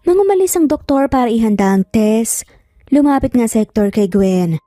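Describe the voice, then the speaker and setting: A woman's voice: on the high side, animated, moderate pace, warm tone, no echo, studio quality, faint music behind. A woman narrating a scripted dramatic story.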